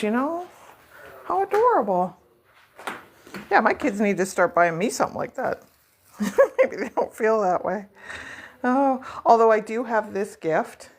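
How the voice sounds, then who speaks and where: A middle-aged woman talks casually into her home vlog, rambling from one thought to the next. She pokes fun at herself as she goes, in a voice of ordinary, middling pitch. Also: a little hiss or hum. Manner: chatty, rambling, self-deprecating. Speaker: vlog host. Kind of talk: casual chat while decorating.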